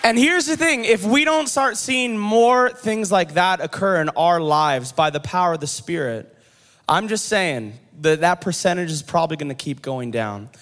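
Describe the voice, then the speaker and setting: A man's voice: high voice, normal speed, a bit bright, in a large hall. A man leading a church service as pastor, upbeat and rallying the crowd. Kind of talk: sermon.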